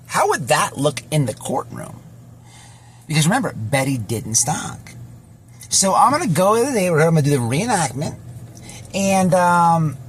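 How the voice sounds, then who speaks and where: A man with a fairly high, very lively voice gives rambling, slangy commentary. He stalls, repeats words and stretches out his pauses, speaking informally as an online host. Steady background noise runs under the voice. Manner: slangy, swearing. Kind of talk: casual rambling livestream-style commentary.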